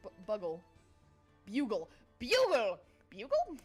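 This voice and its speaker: A woman's voice: on the high side, wildly swooping pitch, takes it slowly, breathy edge, soft background music. A young adult woman streaming, chatting casually with viewers, giggly and scatterbrained, jumping between topics. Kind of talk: casual livestream chat.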